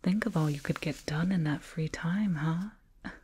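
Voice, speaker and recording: A woman voicing a soothing, condescending alien dentist in a breathy ASMR whisper, close to the mic, doting and clinical. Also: deep voice, full character voice. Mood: reassuring, clinical. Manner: slow, doting, clinical, gently patronizing. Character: condescending yet soothing alien dentist, fussing over a little human. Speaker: ASMR roleplayer. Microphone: binaural mic, up close.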